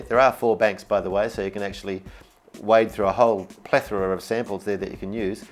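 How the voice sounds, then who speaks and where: A man giving an upbeat, informal and enthusiastic instructional product demo, explaining features as he goes. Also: lowish voice. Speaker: product demonstrator. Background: keyboard music.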